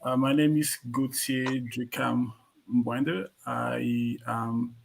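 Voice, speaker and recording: Man, gravelly hesitant voice. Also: mid-range voice, steady tone, takes it slowly. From Cameroon. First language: French. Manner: hesitant, many ums. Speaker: supply chain instructor. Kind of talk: self-introduction in an online session.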